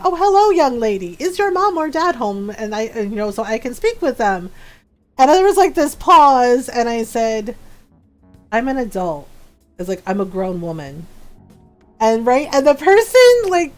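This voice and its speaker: A woman's voice: a little high, big pitch swings, normal speed, darkish tone, faint music behind. A woman in her forties chatting casually with her stream viewers and laughing often as she tells a story. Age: 40s.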